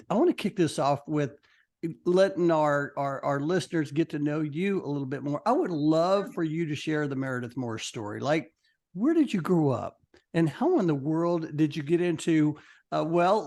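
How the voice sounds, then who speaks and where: Enthusiastic higher-voiced male podcast host. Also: darkish tone. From Texas. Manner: enthusiastic, many fillers and restarts. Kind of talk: upbeat interview podcast.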